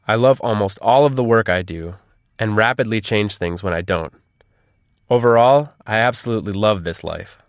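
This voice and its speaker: A man's voice: fairly low pitch, moderate pace, no room sound, clean studio sound. A man reading scripted answers as the guest in an interview.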